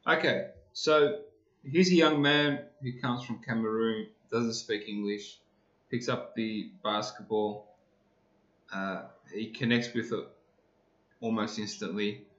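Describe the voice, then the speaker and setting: A man's voice: on the low side, fairly even intonation, slow, fairly bright tone, echoey room, highs cut off. A man hosting a podcast interview casually, with short reactions and stumbling repeats. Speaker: podcast host.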